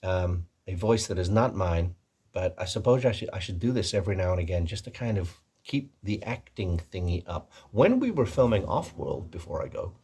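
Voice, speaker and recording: A low, raspy man doing an American male vlogger voice. He rambles in a casual, hesitant way and pokes fun at himself as he presents to his viewers. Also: animated, moderate pace, dark tone, full character voice. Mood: self-mocking, chatty. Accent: put-on American. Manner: rambling, self-deprecating, hesitant. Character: chatty male American video presenter, self-mocking and rambling. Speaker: video host and actor. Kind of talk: casual vlog commentary.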